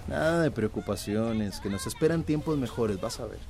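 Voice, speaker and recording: An actor playing an optimistic, reassuring grown son with a company job in a radio soap opera. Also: male voice, mid-range voice, lively intonation, moderate pace, vocal fry, a little mellow. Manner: upbeat, reassuring. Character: optimistic adult son, proud company assistant.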